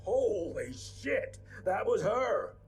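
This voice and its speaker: A man playing a blunt, excitable agent in a video game. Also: sits high, very slow, very noisy, exaggerated voice. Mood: alarmed. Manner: blunt, exclamatory. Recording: loud music under the voice.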